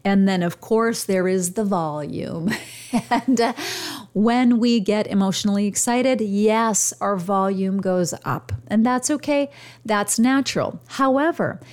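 A woman with a radio background coaches listeners on how to use their voice. She talks into a studio microphone with steady, deliberate pauses and sometimes raises or lowers her volume to show the point she is making. Her pitch sits in the middle for a woman. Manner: demonstrates volume changes with her voice; uses dramatic pauses, radio-trained delivery. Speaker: podcast host and communication coach. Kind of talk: instructional monologue.

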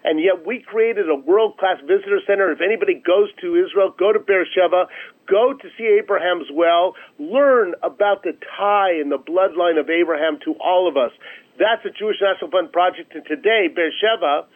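A man speaking as an interview guest over a phone line, pitching his organization emphatically and repeating phrases for effect. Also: normal speed. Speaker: charity chief executive, interview guest. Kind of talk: promotional radio interview talk. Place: remote call into a radio show. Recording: narrow, tinny phone line.

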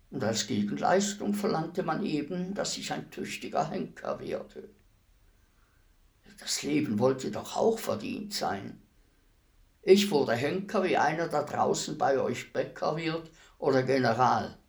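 An actor playing a weary old executioner in a radio play, speaking in a deliberate, ruminative manner with heavy pauses. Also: male voice, medium pitch, vocal fry. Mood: reflective, matter-of-fact. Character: weary old male executioner, fifty years imprisoned, brooding, humble.

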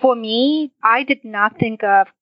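A woman's voice: on the high side, unhurried, dry recording, lo-fi sound. A woman in her forties from Vietnam, a finance executive, telling her career story as a podcast guest, friendly and laughing now and then. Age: 40s. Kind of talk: interview answers.

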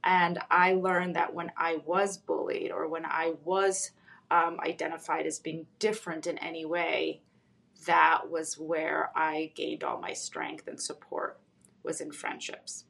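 Woman, Russian native speaker, psychologist, lowish voice, reflective, pauses with ums. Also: flat delivery, creaky. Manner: thoughtful, with frequent ums and soft trailing ends. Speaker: guest, psychologist. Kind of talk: reflective personal storytelling.

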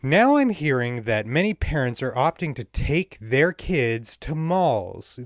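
A man's voice: medium pitch, no room sound. Casual man hosting, close and dry. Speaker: podcast host. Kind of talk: casual interview and opinion rant.

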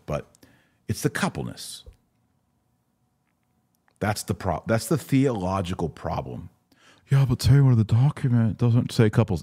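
A man with a very low, dark voice that has a husky grain to it. He talks as a commentary host, opinionated and emphatic, repeating key phrases as he responds to comments. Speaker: podcast host and religious commentator. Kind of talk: live commentary answering viewer comments.

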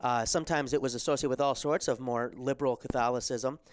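Hoarse man lecturing in a dry studio. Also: mid-range voice, fairly even intonation, quick pace, no echo. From America. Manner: hesitant, many ums and restarts, frequent throat clearing. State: losing his voice, hoarse. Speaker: theology lecturer and podcast host. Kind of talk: solo recorded lecture.

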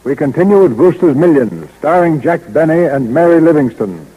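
A man's voice: fairly high pitch, lively intonation, fairly quick, narrow, tinny audio. A man reading scripted narration as the announcer of a 1930s radio play. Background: music.

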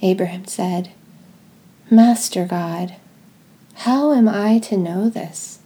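A woman leading a guided devotional reading in a gentle, deliberate way, pausing between short phrases. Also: medium pitch. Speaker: podcast host leading guided prayer and scripture reading.

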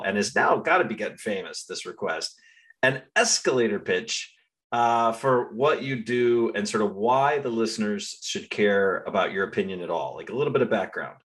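Casting: male podcast host, slightly low voice, chatty and easygoing. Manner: chatty, lively, with frequent filler sounds. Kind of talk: casual interview chat.

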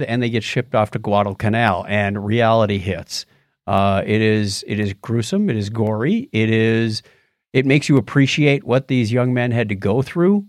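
A man's voice: medium pitch, no echo. A man co-hosting a casual review podcast, with a mid-range voice and a close, dry sound. He talks conversationally, retelling things and dropping in quoted asides. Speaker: podcast co-host. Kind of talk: casual chat reviewing TV shows.

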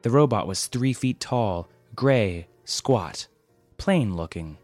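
A man's voice: on the low side, animated, takes it slowly, a little mellow, no room sound, soft background music. A man performing a hammy sitcom-parody storyteller and cheery family of the future, in a theatrical, exaggerated way. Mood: comic, theatrical.

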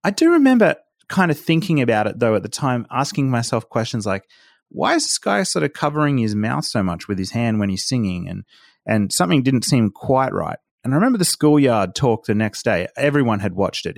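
A man's voice: mid-range voice, sing-song, brisk, gravelly, dry recording, clean studio sound. A man hosting a casual roundtable discussion and talking loosely with fillers and restarts. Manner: conversational, with filler words and restarts. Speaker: podcast host.

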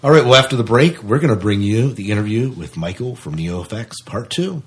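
Deep-voiced man, brisk podcast co-host. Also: expressive. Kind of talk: casual podcast chat.

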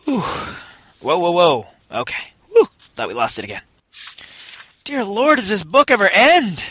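A man's voice: sits high, sing-song, a little slow, pushed and bright, husky, dry recording. A man making casual, exasperated joking asides in a car, his throat strained and tiring. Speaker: podcast performer. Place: inside a car.

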